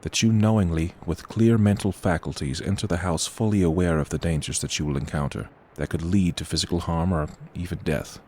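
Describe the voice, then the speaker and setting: Man playing a very deep, ominous haunted-inn agent. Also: fairly even intonation, darkish tone, a little hiss or hum, close to an everyday voice. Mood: formal, grave. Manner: formal, measured, ominous. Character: grave, formal male representative of a haunted inn. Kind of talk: audio horror drama acting.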